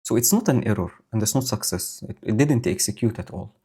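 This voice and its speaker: A man streaming live, explaining his code slowly and thinking aloud with many fillers. He has a low voice and is speaking into a home microphone setup with a noise gate. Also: animated, warm tone, slightly rough. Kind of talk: casual live-coding commentary. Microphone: new home audio setup with noise gate.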